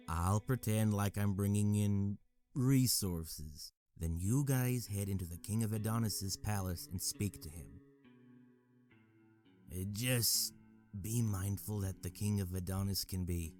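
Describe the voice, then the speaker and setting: A man playing a gruff, weary and reluctant guide in a fantasy visual novel. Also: deep voice, darkish tone. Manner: grudging, weary.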